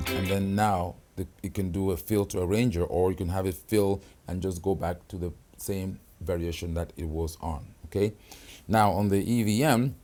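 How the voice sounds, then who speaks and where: Deep-voiced man demoing a keyboard. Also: dark tone. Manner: explanatory, checks in with "okay?" and "right?". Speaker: product demonstrator. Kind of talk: hands-on keyboard tutorial.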